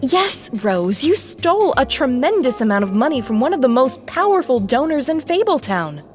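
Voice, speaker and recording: A woman's voice: sits high, big pitch swings, moderate pace, a little mellow, faint music behind. A woman playing a dutiful elder sister and town official in an audio drama, firm and earnest. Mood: stern.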